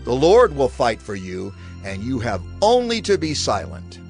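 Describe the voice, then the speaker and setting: A man's voice: medium pitch, huge pitch swings, normal speed, vocal fry, darkish tone. A man playing a resolute, reassuring prophet leader in a scripture audio drama, firm and declamatory. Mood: resolute reassurance. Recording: music bed behind voice.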